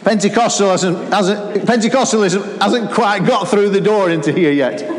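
A middle-aged man with a Lancashire accent preaching a sermon, animated and calling out to the congregation. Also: high voice, normal speed. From Lancashire, England. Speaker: guest preacher. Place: church hall. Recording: roomy hall with long echo.

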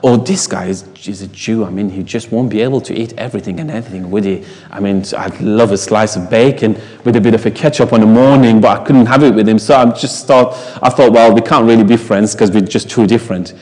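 A man's voice: on the low side, a little fast, few pauses, darkish tone, in a large hall. A man whose first language is Farsi, preaching a sermon in an emphatic way, asking the congregation rhetorical questions.

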